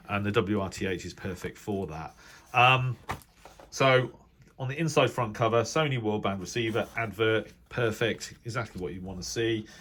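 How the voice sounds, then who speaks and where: Low-voiced, middle-aged British man rambling casually. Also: fairly bright tone. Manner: hesitant, rambling, thinking aloud. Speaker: radio hobbyist video presenter. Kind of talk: casual unscripted commentary while browsing a reference book.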